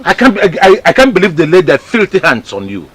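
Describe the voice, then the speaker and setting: A man's voice: high voice, huge pitch swings, fairly quick, a bit bright, exaggerated voice. An actor playing an indignant, protective middle-aged village father. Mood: outraged. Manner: outraged, rhetorical "eh?".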